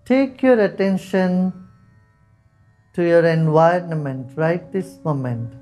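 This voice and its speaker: A man leading a guided meditation, giving soft, calm instructions with long pauses. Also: on the high side. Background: soft instrumental music.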